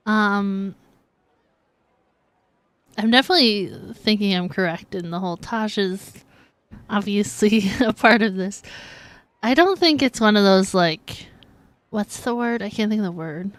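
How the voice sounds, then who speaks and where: A woman's voice: mid-range voice, measured pace, pauses often, no room sound. A woman live-streaming a game with casual, chatty, playful commentary.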